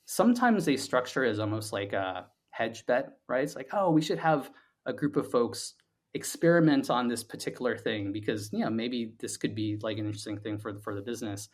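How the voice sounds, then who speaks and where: A man speaking as an interview guest, a founder explaining his thinking in a halting, conversational way with repeated words. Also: medium pitch, lively intonation.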